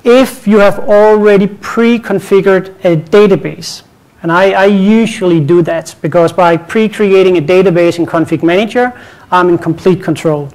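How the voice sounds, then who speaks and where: Danish guy with a higher voice doing a tech talk, relaxed, lots of restarts. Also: low-quality recording. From Denmark. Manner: casual, repeats words, light joking. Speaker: conference presenter. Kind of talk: technical conference talk.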